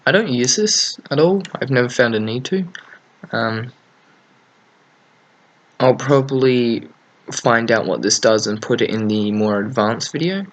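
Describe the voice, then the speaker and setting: A man casually hosting a software tutorial, thinking aloud in an offhand way as he works at his computer. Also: mid-range voice, unhurried, long pauses. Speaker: tutorial host. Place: at a computer desk, screen recording.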